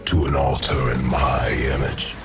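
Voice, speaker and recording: A man playing a huge, menacing undead god-king in a video game, imperious, with a booming bass voice. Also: little pitch movement, a little slow, gravelly, faint music behind, full character voice. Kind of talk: dramatic video game acting.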